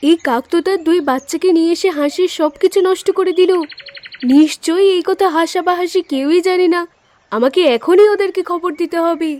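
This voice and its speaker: Woman playing cartoon deer, very high voice, concerned, clever, urgent, earnest. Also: a little fast, a little mellow. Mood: alarmed. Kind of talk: cartoon story voice acting.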